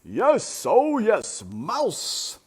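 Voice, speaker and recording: A woman's voice: mid-range voice, huge pitch swings, extremely slow, breathy edge, voice pushed up, exaggerated voice. A woman voicing a gleeful, bloodthirsty chorus of hungry rats in a sing-song, taunting way for a storybook.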